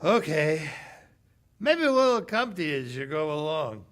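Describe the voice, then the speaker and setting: An actor playing a bitter, heartbroken American cafe owner in 1940s radio drama style, sardonic, slangy and clipped. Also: male voice, fairly high pitch, very animated, measured pace, a bit bright. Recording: live stage radio play with music.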